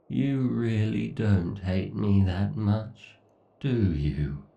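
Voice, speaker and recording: A man playing an obsessive, sadistic AI god in a horror ASMR roleplay, with theatrical, menacing and condescending delivery in drawn-out, deliberate phrases. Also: fairly low pitch, vocal fry, mellow, echoey room, far from the mic, lo-fi sound, exaggerated voice. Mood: mocking. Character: obsessive, sadistic male AI god villain, gloating and hateful.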